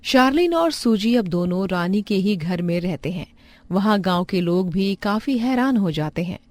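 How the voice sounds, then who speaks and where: A woman's voice: mid-range voice, animated, normal speed, a little mellow, no room sound. A woman narrating a scripted bedtime moral story as storyteller.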